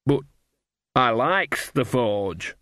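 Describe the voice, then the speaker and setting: A man acts a huge village blacksmith in a storybook. He is meek and gentle. The words come slowly and hesitantly, in thick rustic dialect. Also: mid-range voice, no echo, clean studio sound, lightly acted. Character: huge, slow, gentle male village blacksmith, Jason Ogg.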